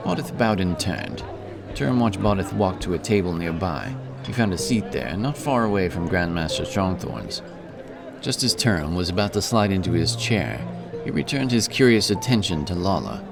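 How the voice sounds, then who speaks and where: A man's voice: sits low, big pitch swings, normal speed, gravelly. A man narrating a scripted fantasy story. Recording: narration over prominent music. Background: music.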